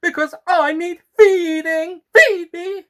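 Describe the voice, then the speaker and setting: A man voicing a cocky, hungry alien flytrap monster in an audio story, loud and demanding. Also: very high-pitched, slow pace, pitched above the performer's own voice. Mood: demanding, menacing.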